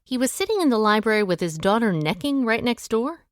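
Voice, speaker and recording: Lively shrewd woman sleuth. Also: on the high side, dry recording, clean studio sound. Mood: brisk, probing. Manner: brisk, wry, deductive. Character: shrewd, take-charge amateur woman sleuth. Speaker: lead actor in an audio drama. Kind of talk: audio drama acting, detective dialogue.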